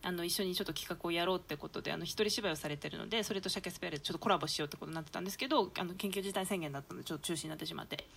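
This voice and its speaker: A woman's voice: lowish voice, racing, creaky, hardly any pauses, a bit bright, slight background noise. A woman hosting a casual group chat as a podcast presenter. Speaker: host of a theatre company's talk podcast.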